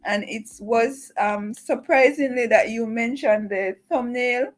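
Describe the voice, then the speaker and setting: A woman's voice: a little high, takes it slowly. Woman hosting a livestream over music. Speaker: livestream host. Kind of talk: welcoming a guest and making announcements on a livestream.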